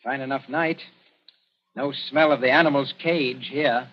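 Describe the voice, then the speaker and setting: An actor playing a shrewd, composed young colonial official in a 1940s radio drama, speaking in a measured, dryly ironic way. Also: male voice, medium pitch, steady tone, natural voice. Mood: brooding. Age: young adult. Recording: dull, muffled 1940s radio recording.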